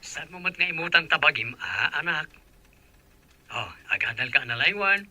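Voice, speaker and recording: A man's voice: on the high side, expressive, normal speed, pushed and bright, exaggerated voice. An actor playing a caring middle-aged father in a radio drama, talking to his child. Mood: affectionate. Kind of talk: Filipino radio drama acting.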